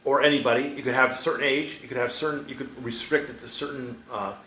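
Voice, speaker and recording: A man's voice: mid-range voice, steady tone, fast talker, pushed and bright, roomy sound, a little hiss or hum, rough recording. A man in his sixties chatting as a guest on a podcast, talking freely and cutting in to make his point. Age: 60s. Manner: chatty, interrupts, laughs a lot. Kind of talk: casual chat.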